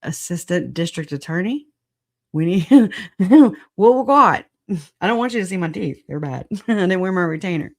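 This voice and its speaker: A livestream host with a raspy voice, hoarse after a recent illness, chatting casually and laughing a lot at themselves. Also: mid-range voice, lively intonation, moderate pace. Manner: laughs often, self-deprecating, chatty. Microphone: computer mic on streaming software.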